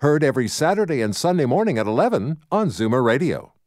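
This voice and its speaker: A man's voice: medium pitch, big pitch swings, fast talker, a little mellow, no echo, studio quality. A man reading a polished, scripted radio show intro as the announcer. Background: music.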